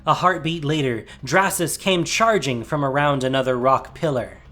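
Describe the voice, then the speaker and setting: A man giving a scripted, dramatic fantasy narration as storyteller, measured and suspenseful. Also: on the high side, pushed and bright. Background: music.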